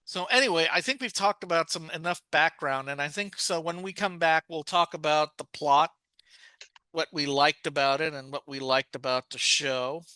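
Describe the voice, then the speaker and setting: A man with a slightly high, very bright voice co-hosts a casual discussion. His tone is crisp and closely recorded. He often stops midway and rephrases himself. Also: moderate pace, dry recording. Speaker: podcast co-host.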